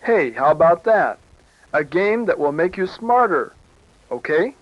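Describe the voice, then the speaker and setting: A man's voice: fairly high pitch, very animated, unhurried, no room sound. A man playing a friendly, encouraging professor who talks simply and reassuringly to children on a 1970s educational cassette. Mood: friendly, upbeat. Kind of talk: scripted children's educational tape. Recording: muffled, dry 1970s cassette tape.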